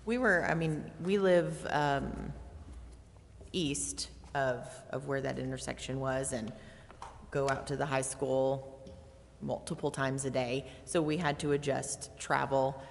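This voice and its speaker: Creaky-voiced woman in a reverberant chamber. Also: rumbling low, fairly even intonation, takes it slowly, a little hiss or hum. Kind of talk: informal remarks at a public meeting. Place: city council chamber.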